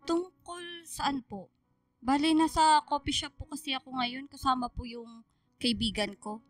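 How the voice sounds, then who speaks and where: A woman's voice: high-pitched, measured pace, soft background music. A woman with a Filipino accent playing a cheerful, sassy young adult daughter in a radio drama, playful and conversational. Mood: casual, candid.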